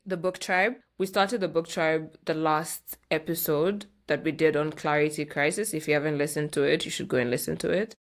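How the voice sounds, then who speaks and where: A Kenyan woman hosting a podcast solo, talking casually and candidly to her listeners in a playful way. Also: sits low. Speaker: podcast host.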